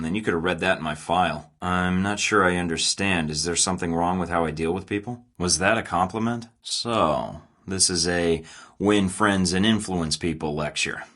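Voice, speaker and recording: A cocky young spy recruit, talks in a deep, low register with a forceful, bright edge. He is sarcastic, blunt and impatient, as in a studio-recorded video game. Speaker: voice actor.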